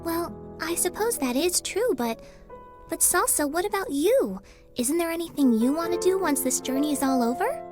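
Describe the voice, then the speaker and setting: A woman's voice: very high-pitched, expressive, normal speed, over music. A woman voicing a polite, studious young girl in a video game, earnest and carefully worded. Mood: mild, curious. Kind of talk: English video game dub acting.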